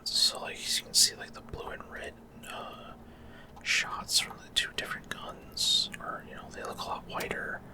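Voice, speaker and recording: A man speaks in an unvoiced whisper, giving casual, halting ASMR commentary on gameplay and explaining as he goes, close to the microphone. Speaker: gaming video host.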